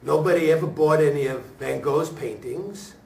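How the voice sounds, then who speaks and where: A high-pitched man talks in an echoey room, some way from the mic. He is reflective and deliberate, often breaking his sentences into short, halting pieces. It has the feel of an actor discussing a performance after a show. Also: creaky. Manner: halting, deliberate phrasing with emphatic pauses. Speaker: stage actor speaking at a talkback. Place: room at a staged reading, before an audience. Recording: echoey room, picked up from far off.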